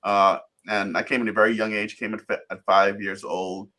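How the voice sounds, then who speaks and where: Low-voiced guyana-born guy in his 30s, relaxed, tells his story over a video call. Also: moderate pace. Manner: relaxed, rambling with restarts and fillers. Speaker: interview guest. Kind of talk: casual storytelling interview.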